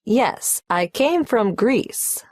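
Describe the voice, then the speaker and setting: A polite young applicant who answers a clerk's questions and carefully spells out names and numbers. She talks slowly, and the recording is dry and close. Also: fairly high pitch, animated, no echo, heavily acted. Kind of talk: scripted exam listening dialogue.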